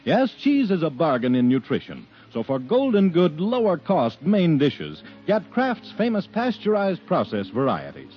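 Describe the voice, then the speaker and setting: A man's voice: fairly high pitch, huge pitch swings, measured pace, hardly any pauses, slightly rough, faint music behind. An American man reading a 1940s radio advertisement with polished, emphatic salesmanship. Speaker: radio announcer. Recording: muffled 1940s radio recording.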